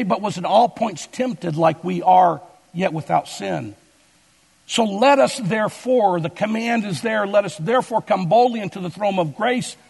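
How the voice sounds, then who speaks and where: A male pastor preaching a sermon with deliberate, emphatic stresses, drawing words out slowly for weight. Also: high voice, gravelly, breathy edge. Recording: long hall reverb.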